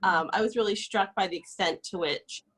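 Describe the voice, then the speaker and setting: A woman's voice: mid-range voice, brisk, a bit bright, slightly rough. A woman scholar interviewing an author over a video call, asking long, winding questions full of "sort of" hedges. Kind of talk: conversational interview.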